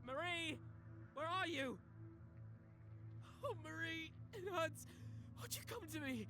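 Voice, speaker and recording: Woman playing sickly devoted lover, high voice, plaintive, tender, horror game, loud music behind. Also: animated, takes it slowly, full character voice. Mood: pained calling. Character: ailing, devoted, bewildered lover in a horror story. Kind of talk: visual novel voice acting.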